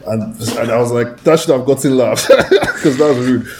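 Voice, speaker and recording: A man's voice: medium pitch, moderate pace, a crowd in the background. Jokey man over background babble. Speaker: trivia contestant. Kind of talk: casual joking chat.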